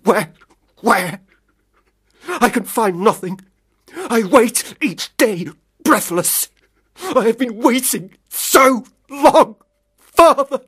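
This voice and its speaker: A haunting, lost young daughter in a radio drama. Her voice is breathy and rather high. She draws her laments out very slowly, full of despair. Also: animated, heavily acted. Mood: desolate, pleading. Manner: drawn-out, plaintive, lamenting. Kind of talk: radio play acting.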